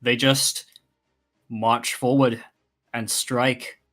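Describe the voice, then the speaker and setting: A man's voice: medium pitch, little pitch movement, extremely slow, fairly bright tone. A man narrating combat as game master of a tabletop roleplaying game, speaking casually. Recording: background music under the voice. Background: music.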